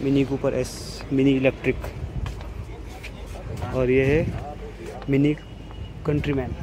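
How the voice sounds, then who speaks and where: A man's voice: mid-range voice, takes it slowly. A man casually narrating a walkaround vlog at an outdoor car expo, filming on a handheld phone. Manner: relaxed, plain commentary while walking. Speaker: vlogger. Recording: noisy outdoor phone recording.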